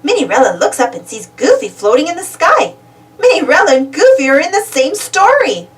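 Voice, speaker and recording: A woman reading a children's storybook aloud as an animated narrator. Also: high voice, brisk. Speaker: storybook reader. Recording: distant mic, music behind.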